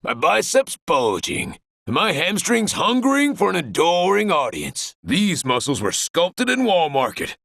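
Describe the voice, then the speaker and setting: A man's voice: high voice, wildly swooping pitch, measured pace, vocal fry, fairly bright tone, no echo, heavily acted. A man playing a vain, boastful muscleman in a video game, theatrical and showy. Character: vain, flamboyant muscleman showing off to crowds. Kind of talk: video game character lines.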